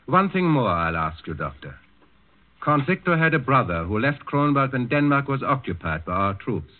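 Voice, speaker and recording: A man's voice: mid-range voice, sing-song, moderate pace, close to an everyday voice. A man acting a stern, cold Nazi officer who questions formally and imperiously in a 1940s radio drama. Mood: stern, probing. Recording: muffled 1940s radio broadcast recording.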